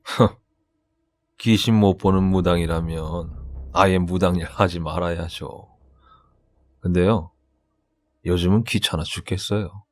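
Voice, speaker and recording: A man's voice: deep voice, steady tone, measured pace, pauses often. A man playing a sly, candid shaman in a horror radio drama, speaking in a knowing, conversational way with dramatic emphasis. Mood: weary, grumbling. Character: sly, candid shaman confiding about vengeful ghosts.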